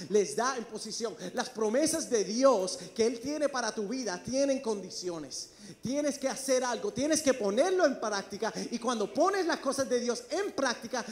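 A man preaching a sermon, emphatic and calling out to his congregation in a church. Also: very high voice. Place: church sanctuary. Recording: long reverb of a large hall.

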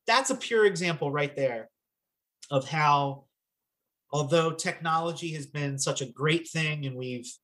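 A man's voice: a little high, unhurried, fairly bright tone. Easygoing man with a Philly accent. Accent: Philadelphia. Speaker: podcast host. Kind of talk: casual chat.

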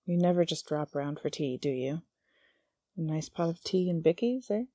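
A woman's voice: low voice, animated, dark tone, no echo, studio quality. A woman doing a drawling male demon. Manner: slow lazy drawl. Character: male demon, lazy drawl, wounded, brooding.

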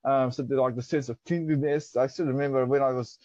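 A man's voice: mid-range voice, fairly even intonation, fairly quick, a little mellow, no room sound, highs cut off. A young man from Pakistan whose first language is Dari, telling his story as an interview guest, hesitant with many fillers. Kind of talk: reflective personal storytelling.

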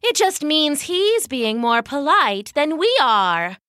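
A woman's voice: sits high, very animated, slow, a bit bright, no room sound, clean studio sound, pitched above the performer's own voice, full character voice. A woman doing a kind, earnest mummy.

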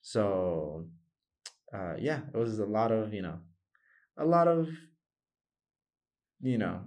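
Young man, lowish voice, chatting informally. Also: very animated, slow pace. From United States, of Mexican family. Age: 20s. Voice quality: loud voice. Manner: informal, talks in loose loops with frequent "like" and "you know". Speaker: interview guest, graduating student. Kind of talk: casual conversational interview answers.

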